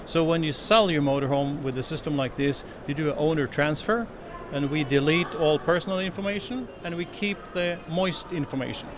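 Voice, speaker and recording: A man's voice: on the high side, fairly quick, hardly any pauses. A middle-aged man from Norway with a Norwegian accent, explaining a product earnestly in a trade show interview. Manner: earnest, slightly non-native phrasing with restarts. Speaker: company representative. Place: exhibition stand indoors. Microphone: handheld interview mic. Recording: noisy trade show floor.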